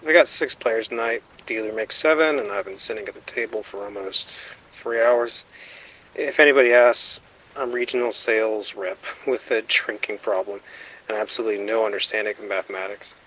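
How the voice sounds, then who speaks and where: A man's voice: on the low side, fairly even intonation, moderate pace, pushed and bright, muffled recording, slight background noise. A man playing a wisecracking, grumbling undercover vice cop in a radio drama, with sardonic delivery. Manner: sardonic, complaining. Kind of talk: naturalistic police radio drama acting.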